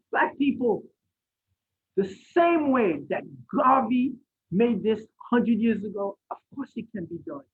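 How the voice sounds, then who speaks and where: A Haitian-born man giving an impassioned lecture over a video call, asking rhetorical questions and rising to near shouts. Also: very high-pitched, breathy edge. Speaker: guest speaker. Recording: phone-like line from an online event.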